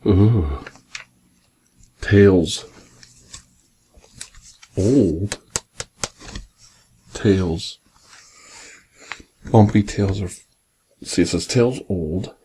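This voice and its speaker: A man reading a picture book aloud in a soft, gentle, partly whispered ASMR bedtime style with casual asides, close to the microphone. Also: sits low, drawn-out words, long pauses. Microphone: close binaural microphone. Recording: clear background noise, binaural ASMR microphone.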